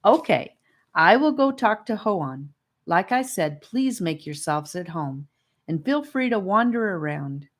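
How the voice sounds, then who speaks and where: A woman's voice: on the low side, sing-song, unhurried. A woman voicing a friendly, proud boy guide from a fantasy world in a children's audiobook.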